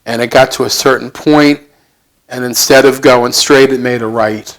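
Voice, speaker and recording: A man in his seventies with a heavy Brooklyn accent, speaking in a halting, plain-spoken, self-deprecating way as he answers reflectively in an interview. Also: medium pitch, steady tone, a bit bright, rough recording. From Brooklyn, United States. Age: 70s. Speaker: interview guest.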